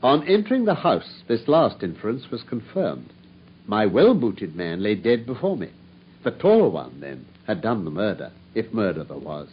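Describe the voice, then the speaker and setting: An actor playing a coolly brilliant detective, explaining his deductions in a precise, measured way. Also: on the low side, very animated, slight background noise. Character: coolly brilliant, self-assured male detective. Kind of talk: 1950s radio drama acting. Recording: dull lo-fi old radio broadcast.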